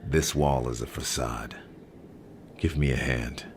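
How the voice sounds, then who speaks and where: An actor playing a grim, terse masked male vigilante with a deep gravelly voice in a dramatic audio roleplay. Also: steady tone, noisy background, heavily acted. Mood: terse, investigative. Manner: terse, slow, brooding, commanding.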